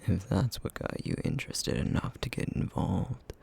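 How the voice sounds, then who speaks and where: A man playing a brooding, guilt-ridden essence-eating creature in an ASMR roleplay drama, bitter and sarcastic, with a breathy, gravelly half-whisper. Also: low-pitched, wildly swooping pitch, moderate pace, darkish tone, slight background noise, heavily acted. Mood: weary, bitter.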